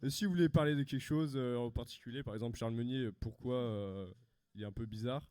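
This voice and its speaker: A young man co-hosting a student live show, joking and chatting casually in a halting way. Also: medium pitch, mellow, clear, resonant tone. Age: young adult. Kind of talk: casual chat before a live audience.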